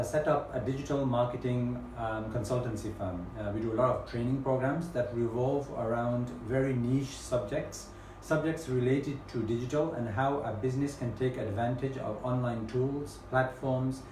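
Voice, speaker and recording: A British man from the UK hosting a webinar, speaking in a measured way with frequent ums and uhs. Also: medium pitch, darkish tone, a little hiss or hum. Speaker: webinar host. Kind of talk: presenting a webinar. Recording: echoey room, mic at a distance.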